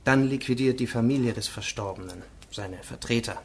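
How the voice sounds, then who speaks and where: An actor playing a self-assured, learned French court president in a radio play, explaining precisely and eagerly. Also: male voice, mid-range voice, fairly quick, creaky, a little hiss or hum, natural voice. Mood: instructive, eager. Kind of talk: radio drama acting.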